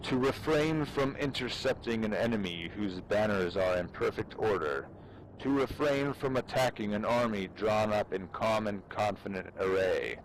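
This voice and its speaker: A man giving a solemn, deliberate dramatic reading of a military treatise, with pauses between phrases. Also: on the low side, a little hiss or hum, rough recording. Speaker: amateur reader performing for fellow gamers.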